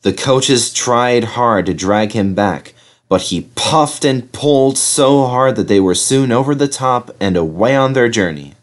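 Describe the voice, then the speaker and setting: A man reading a children's story aloud as an expressive narrator. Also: mid-range voice, a little slow. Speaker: storybook reader.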